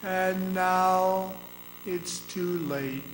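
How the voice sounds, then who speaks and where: A man playing the solemn, forbidding ghost of a murdered man in a radio drama, speaking deliberately. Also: sits high, steady tone. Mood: ominous. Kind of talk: old-time radio drama acting on stage. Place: performing arts center hall. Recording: echoey hall, faint noise.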